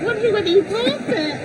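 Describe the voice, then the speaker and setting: A woman, a parent, reacting excitedly in a car and calling out in delight. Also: extremely high pitch, far from the mic, heavy background noise. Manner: excited, shrieking, laughing.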